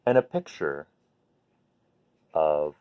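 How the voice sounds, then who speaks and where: A man hosting a children's bedtime read-aloud, talking gently and simply to viewers in an unhurried way. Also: very deep voice, expressive, clear, resonant tone. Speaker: host reading picture books to children. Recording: phone-like narrow-band sound.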